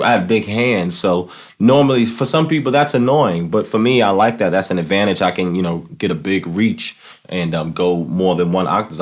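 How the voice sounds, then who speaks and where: A man in his thirties hosting a casual instructional show, talking to camera in a halting way as he searches for words. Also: low voice. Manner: halting, searching for words, often saying "you know". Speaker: host of a keyboard and talk box tutorial show. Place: small plain indoor room, home studio setup.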